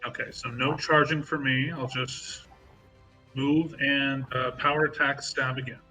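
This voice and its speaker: A man's voice: mid-range voice, fairly even intonation, a little slow, pushed and bright, soft background music, low-quality recording. A man playing a tabletop role-playing game, thinking aloud about tactics and describing his character's attacks. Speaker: player. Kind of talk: casual play over voice chat.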